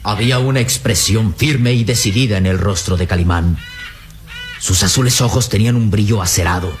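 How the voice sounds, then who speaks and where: A man's voice: lowish voice, fairly quick, background noise, natural voice. Man as emphatic adventure radio-drama narrator. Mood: grave, dramatic. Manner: grave, emphatic, florid storytelling delivery. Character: solemn male adventure storyteller.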